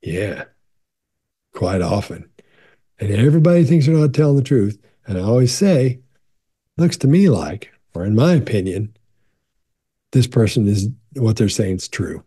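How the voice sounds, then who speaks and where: A gravelly man in his sixties talks straight to his webcam from a home office, loose and conversational, circling back to reassure the viewer. His voice sits low and very dark, with a husky edge. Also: sing-song, measured pace, pauses often. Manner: conversational, repeats and restarts, leans into reassurance. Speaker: body language expert. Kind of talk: casual Q&A talk to camera.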